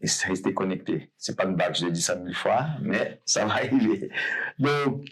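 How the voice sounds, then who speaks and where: A man's voice: low voice, animated, normal speed, slightly rough, low-quality recording. A man hosting a podcast interview, talking loosely and rambling, often correcting himself. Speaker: podcast host.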